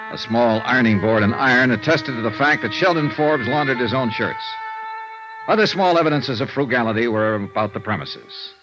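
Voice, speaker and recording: An actor playing a world-weary, dry American investigator in a 1950s radio noir drama, with clipped hard-boiled delivery. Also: male voice, medium pitch, lightly acted. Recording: muffled vintage radio recording.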